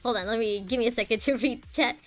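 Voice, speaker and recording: A woman's voice: a little high, fast talker. Chatty, giggly woman streaming a game. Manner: chatty, giggly, wandering asides. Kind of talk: casual livestream playthrough commentary. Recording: faint game music behind the voice.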